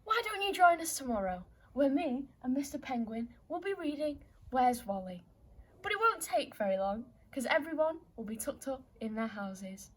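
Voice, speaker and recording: High-voiced woman reading a children's story. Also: very animated, normal speed. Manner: playful, theatrical, addresses young listeners directly.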